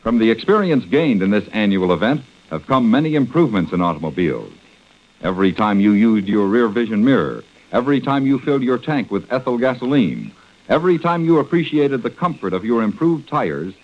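Male American announcer, 1940s radio style: formal, lively intonation, dull vintage recording. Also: fairly low pitch. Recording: muffled old radio recording.

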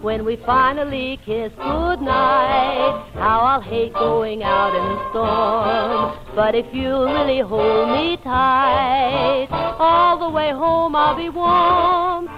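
High-voiced woman singing 1940s pop. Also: fairly even intonation, takes it slowly, hardly any pauses. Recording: dull old radio music broadcast. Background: loud musical accompaniment.

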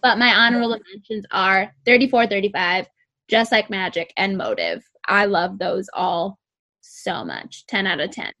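A young woman with a sweet, squeaky voice, chatting casually about music and peppering her talk with "like". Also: expressive, normal speed, bright, forceful tone. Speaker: co-host reviewing music. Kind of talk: casual chat.

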